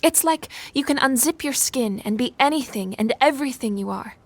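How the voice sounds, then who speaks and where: A woman acting a reserved, homesick young noblewoman in a Regency audio drama, soft-spoken and sincere. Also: high voice, lively intonation, normal speed. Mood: quiet, wistful.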